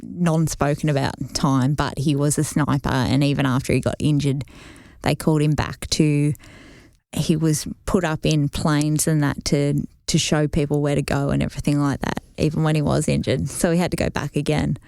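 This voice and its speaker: A woman's voice: low voice, level tone, normal speed, vocal fry, a little mellow, no echo, clean studio sound. A woman co-hosting a podcast, telling a rambling family story in casual chat. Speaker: podcast co-host.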